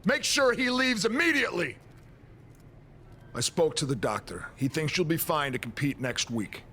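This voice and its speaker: A stern, exasperated wrestling boss in a video game scene. He has a fairly high male voice and delivers his words curtly, with firm authority. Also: lively intonation, moderate pace, sharp tone, a little hiss or hum. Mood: angry, commanding. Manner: authoritative, curt. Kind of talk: video game cutscene acting.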